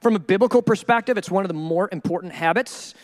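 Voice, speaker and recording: A male pastor preaching a sermon in an animated, joking way, acting out the people he quotes. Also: sits high, quick pace, in a large hall. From Minnesota.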